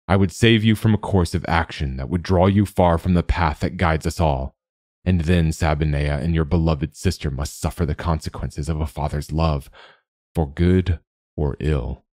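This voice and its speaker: A man's voice: rumbling low, moderate pace, a little mellow, no echo, clean studio sound. A man voicing a grave, sorrowful old king and father in a storybook, speaking bluntly and solemnly.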